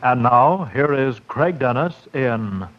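A man's voice: mid-range voice, lively intonation, takes it slowly, over loud music. A man announcing a 1940s radio program from a script, formal and dramatic. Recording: muffled 1940s radio recording.

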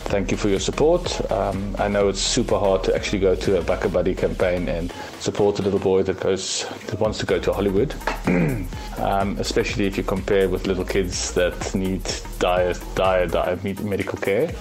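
A man, a proud father speaking earnestly on a radio interview, pausing often with fillers. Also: deep voice, steady tone, a little mellow, faint music behind, rough recording. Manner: earnest, hesitant with many fillers. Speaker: guest's father.

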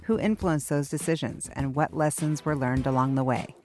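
A woman hosting a podcast interview, upbeat and enthusiastic, firing off quick questions. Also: low voice, darkish tone, soft background music. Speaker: podcast host.

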